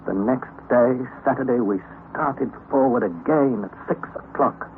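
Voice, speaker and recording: A man's voice: mid-range voice, measured pace, lightly acted. A male actor playing a young adventurer who narrates his own journey in an old radio drama, in an earnest, dramatic manner. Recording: old lo-fi radio broadcast, faint hiss.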